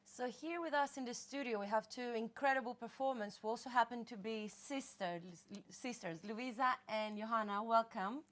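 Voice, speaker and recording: Bubbly young female presenter, high voice. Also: moderate pace, few pauses. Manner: enthusiastic, bubbly. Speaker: host. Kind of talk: studio presenting.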